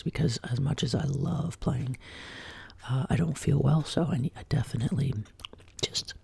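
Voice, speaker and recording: A woman hosting a soft-spoken ASMR chat, sick and sounding lower than usual, drifting between quiet speech and whispers in her home studio. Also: creaky, husky, no echo. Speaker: ASMR channel host. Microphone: binaural desk microphone.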